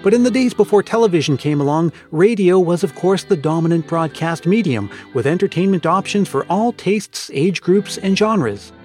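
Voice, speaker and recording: A man's voice: high voice, expressive, moderate pace, few pauses, darkish tone, soft background music. A man hosting a podcast with scripted narration. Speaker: podcast host.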